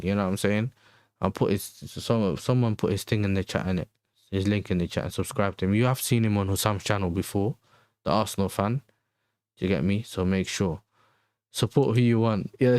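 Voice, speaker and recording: A man with a London accent talks in a slightly low voice with a dry, close sound. He hosts a casual livestream, slangy and conversational, often circling back over his own phrases as he chats with viewers. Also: a little mellow, no echo. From Netherlands. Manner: slangy, conversational, repeats himself. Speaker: livestream host and football fan.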